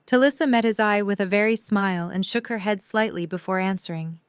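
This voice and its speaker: A woman's voice: mid-range voice, fairly quick, clean tone, dry recording. A woman narrating a scripted audio drama story in prose.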